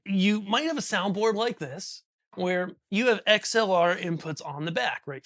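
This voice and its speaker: Higher-voiced man giving a casual tutorial. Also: fairly bright tone, no room sound, clean studio sound. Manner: conversational, checks in with frequent "right?" and "okay?". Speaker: host, audio gear instructor.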